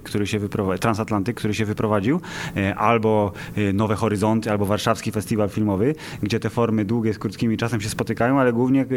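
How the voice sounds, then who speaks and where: A man's voice: on the low side, a little hiss or hum. A man co-hosting a podcast, discussing films in an informal, wry way. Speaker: podcast co-host. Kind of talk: casual film discussion.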